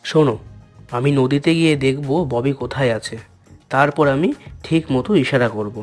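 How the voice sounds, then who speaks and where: A man voicing a plucky, touchy animal friend in a fairy-tale cartoon, eager and quick to protest. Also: medium pitch, soft background music, close to an everyday voice.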